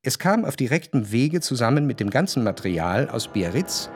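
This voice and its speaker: A man's voice: mid-range voice, big pitch swings, husky, dry recording, soft background music. Introspective male literary narrator, dry close sound. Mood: wry, reflective. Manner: measured, articulate, reflective. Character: introspective, wryly analytical male narrator of a historical novel. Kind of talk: literary radio play narration.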